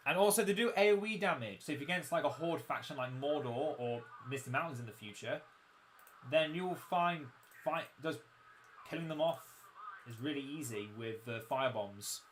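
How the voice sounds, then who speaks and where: Croaky-voiced young man streaming a game. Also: a little high, moderate pace, slight background noise. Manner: chatty, self-mocking, explains game mechanics. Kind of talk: casual livestream commentary.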